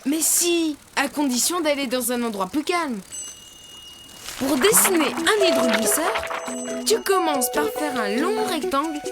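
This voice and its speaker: A performer voicing a calm, helpful little drawing teacher in a children's cartoon, patient and reassuring. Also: sits high, a little slow. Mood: patient, instructive. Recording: loud music behind the voice.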